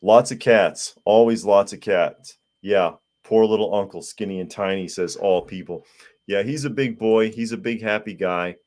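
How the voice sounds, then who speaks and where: A man with a sore, hoarse throat who hosts a live podcast, reading viewer comments aloud in a plain-spoken, casual way. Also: lowish voice, moderate pace, darkish tone. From United States. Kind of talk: casual live-stream chat.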